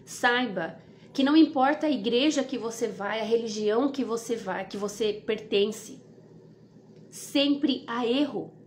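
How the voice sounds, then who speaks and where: A Brazilian woman with a distinctly high voice delivers an informal devotional message. She exhorts earnestly, repeating phrases to drive them home and putting questions to the listener. Light ambient noise sits behind her speech. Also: unhurried. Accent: Brazilian Portuguese. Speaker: devotional host and preacher.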